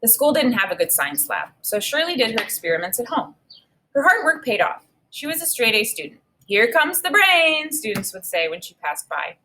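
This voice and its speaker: A woman teacher reading a children's book aloud and pausing to think aloud about it. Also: fairly high pitch, slight background noise. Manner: reads with expressive emphasis, pauses to think aloud. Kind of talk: read-aloud lesson with think-aloud.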